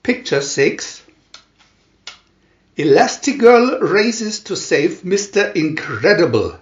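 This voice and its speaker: A man reading a scripted picture storybook aloud in short, deliberate phrases with pauses between them. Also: high voice, very animated, reverberant, highs cut off. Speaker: reader of picture-story booklets.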